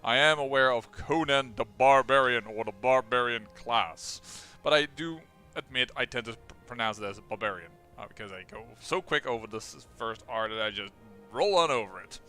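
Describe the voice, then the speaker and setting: A young man with a Dutch accent, casually commentating his own strategy game playthrough, excitable and quick, often restarting his sentences. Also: medium pitch, faint music behind. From Netherlands. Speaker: let's play host.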